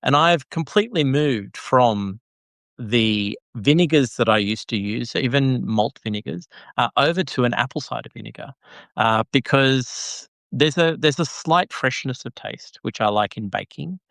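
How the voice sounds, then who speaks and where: A male podcast host chats casually, often stumbling over his words and filling gaps with "uh". His voice sits at an ordinary pitch. He is recorded close to the mic in a dry room with no echo. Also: expressive, unhurried, gravelly, fairly bright tone. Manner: hesitant, with many "uh"s and repeated words. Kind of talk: conversational podcast chat.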